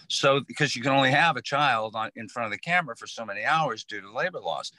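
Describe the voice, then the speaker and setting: Bright, forceful man, dry close sound. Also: medium pitch, quick pace, dry recording, low-quality recording. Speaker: panel guest. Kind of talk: casual panel chat.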